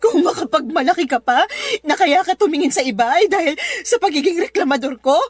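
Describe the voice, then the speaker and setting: Overworked young mother, radio drama; piercingly high voice, biting and emotional, light music behind. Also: expressive, brisk. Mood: hurt, exhausted. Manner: sharp, sarcastic, emotional. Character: overworked, fed-up young mother confronting her cheating partner. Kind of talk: Filipino radio drama acting.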